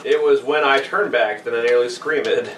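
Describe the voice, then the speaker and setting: A man's voice: mid-range voice, moderate pace, a bit bright. A man co-hosting a podcast, dropping wry, offhand asides between casual chat and story reading. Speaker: podcast co-host. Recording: echoey noisy room, distant mic.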